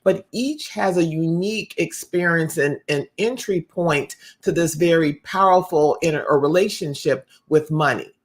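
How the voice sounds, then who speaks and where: An interviewer hosting the conversation, asking thoughtful questions with careful, measured phrasing. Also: mid-range voice. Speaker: interviewer, host.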